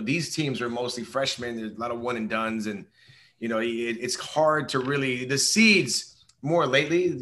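A hoarse man co-hosting a podcast, chatting casually about sports with lots of fillers and restarts. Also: medium pitch, a bit bright. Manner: rambling, many fillers and restarts. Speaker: podcast co-host.

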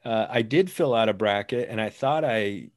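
A man's voice: lowish voice, moderate pace. A man hosting a sports podcast, upbeat and casual, mixing Spanish greetings into English. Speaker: podcast host.

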